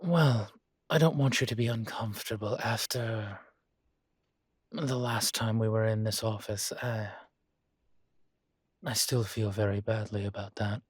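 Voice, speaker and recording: A man acting as a composed, courteous college professor in an intimate audio roleplay, speaking with measured, formal politeness and dry wit. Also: on the low side, steady tone, slightly rough, no echo. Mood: embarrassed, apologetic. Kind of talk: intimate scripted one-sided roleplay dialogue.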